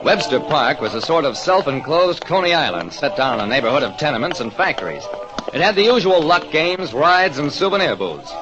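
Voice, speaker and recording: An actor playing a terse American private detective, narrating an old radio crime drama. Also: male voice, a little high, very animated, a little fast. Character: wry, quick-thinking American private detective. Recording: dull vintage radio recording, music behind.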